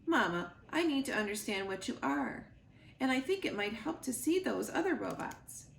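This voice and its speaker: A woman's voice: on the high side, very animated, moderate pace, gravelly, a bit bright, full character voice. A woman voicing a young gosling son in a children's storybook, impatient and emotional, with a whiny, protesting manner. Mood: sorry, nervous. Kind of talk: children's storybook read-aloud. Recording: roomy echo, noticeable background noise.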